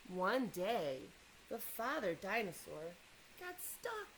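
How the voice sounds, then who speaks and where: Animated, slow-paced woman reading to children. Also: mid-range voice, a little hiss or hum, low-quality recording. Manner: expressive, engages listeners with questions and asides. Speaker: storyteller reading a children's picture book. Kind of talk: animated read-aloud to young children.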